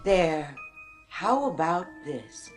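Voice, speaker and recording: An actress playing a courteous, eerily knowing saleswoman in a radio drama, measured and insinuating. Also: low voice, big pitch swings, soft background music, exaggerated voice. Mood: smooth, coaxing.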